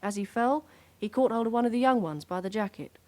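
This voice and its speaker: A woman's voice: on the high side, brisk, darkish tone, close to an everyday voice. Composed, matter-of-fact British policewoman played by an actress. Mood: factual, composed. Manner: matter-of-fact, clipped phrases. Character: composed, dutiful policewoman reporting to her sergeant. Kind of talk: radio drama acting.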